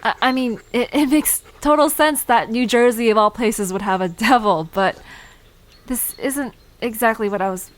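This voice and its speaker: A woman's voice: fairly high pitch, normal speed, close to an everyday voice. A woman playing a nervous, curious young woman in an audio drama, flustered and stammering as she talks. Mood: awkward, curious.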